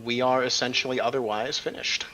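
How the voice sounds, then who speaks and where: A man's voice: mid-range voice, steady tone, brisk. A man acting as game master, casually narrating and chatting through a tabletop game. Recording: slightly dull audio over music. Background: music.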